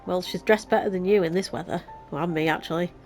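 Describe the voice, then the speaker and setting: A woman's voice: on the low side, steady tone, fast, soft background music. A young woman with a Yorkshire accent and a blocked, nasal voice, chatting casually as she plays a video game. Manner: chatty, self-mocking, laughs often. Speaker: let's play host. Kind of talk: casual gameplay commentary.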